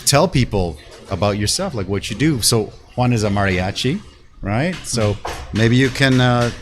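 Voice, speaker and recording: Male host from Canada, native Spanish speaker, mid-pitched, loose slangy chat, quick to affirm. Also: animated, background noise. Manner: informal, slangy, frequently affirming. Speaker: show host. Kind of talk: casual live-show chat.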